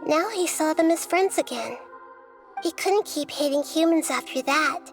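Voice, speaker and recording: A woman voicing a wise, gentle young girl sage in a video game, speaking in a measured, articulate, scholarly way. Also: high-pitched, soft background music. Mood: gently pondering. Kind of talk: scripted video game dialogue.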